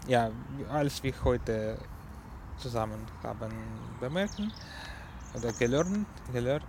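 A man with a Ukrainian accent hosts a community radio music show, speaking very slowly and pausing often to search for German words. His voice sits at an ordinary male pitch. Clear background noise runs under the recording. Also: big pitch swings. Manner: halting, searching for German words, many fillers. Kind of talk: unscripted radio music presentation.

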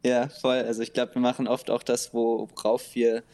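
A young man who is a guest band member, talking calmly with many fillers in a casual interview. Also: mid-range voice, moderate pace. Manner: calm, even, with many fillers.

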